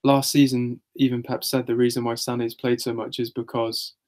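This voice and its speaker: A man's voice: medium pitch, little pitch movement, normal speed, a little mellow. A man talking casually as a podcast co-host, weighing up fantasy football choices in a matter-of-fact, analytical way.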